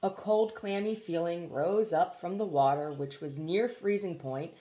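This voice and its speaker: A woman reads a storybook aloud in a lower-pitched voice. Her narration is expressive, in the manner of an amateur audiobook reader with a casual touch. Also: unhurried.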